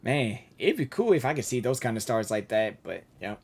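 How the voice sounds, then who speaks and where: A woman's voice: very deep voice, sing-song, brisk, a little mellow, slightly rough. A woman game streamer giving casual, informal reaction commentary and talking back to the characters.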